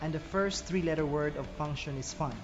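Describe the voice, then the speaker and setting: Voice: mid-range voice, brisk. A specialist explaining therapy in an interview for a TV report. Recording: dull audio under prominent music. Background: music.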